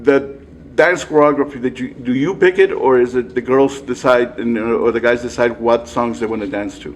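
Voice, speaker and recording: Upbeat South Texas man, casual sports interviewer, mid-pitched. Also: moderate pace, a little hiss or hum. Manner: chatty, upbeat, laughs often.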